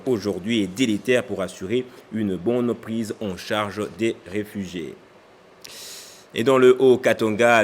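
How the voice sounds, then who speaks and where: A man reading a television news bulletin in a measured, formal way. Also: lowish voice, a little hiss or hum. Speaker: news anchor.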